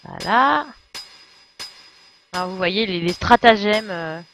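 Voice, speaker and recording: A woman's voice: mid-range voice, animated, takes it slowly, fairly bright tone, soft background music. A young woman giving casual live game commentary as a streamer, sardonic and slangy. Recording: slightly dull, dry microphone sound.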